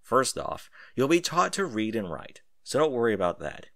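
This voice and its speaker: A man's voice: mid-range voice, very animated, fairly bright tone, no echo. A man voicing an easygoing military captain who teases and reassures a youngster in an audiobook story. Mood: reassuring. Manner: teasing, unhurried reassurance to a youngster.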